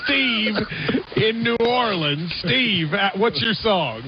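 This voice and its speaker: A man hosting a call-in sports radio show, quick and teasing, heard through a noisy radio broadcast. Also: high voice, expressive. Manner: quick, teasing, trivia-minded. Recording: muffled radio broadcast with noise.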